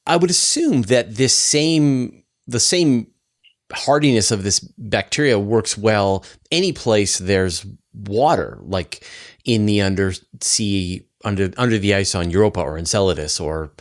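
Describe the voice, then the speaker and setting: Low-voiced male science interviewer. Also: lively intonation, unhurried. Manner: curious, informal, thinking aloud while asking. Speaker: interviewer, science show host.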